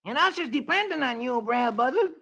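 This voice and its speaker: Very high, nosy little critter. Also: male voice, lively intonation, a little fast, vocal fry, bright, forceful tone, full character voice. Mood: eager curiosity. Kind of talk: 1940s radio comic-strip reading. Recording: dull, noisy vintage radio recording.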